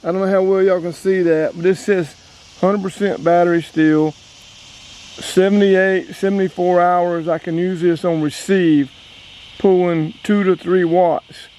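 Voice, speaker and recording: A man's voice: high-pitched, steady tone, unhurried, a little mellow, poor audio. An American man giving a casual hands-on demo, talking straight to viewers in a folksy way. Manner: folksy, addresses viewers directly. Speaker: outdoors channel host and radio operator. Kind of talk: casual hands-on demonstration vlog.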